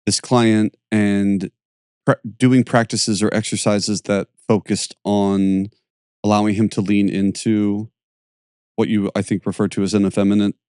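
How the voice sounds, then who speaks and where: A man hosting a podcast who also teaches fitness. He chats in an energetic, performative way. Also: lowish voice, measured pace, smooth, no echo, clean studio sound. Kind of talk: podcast conversation.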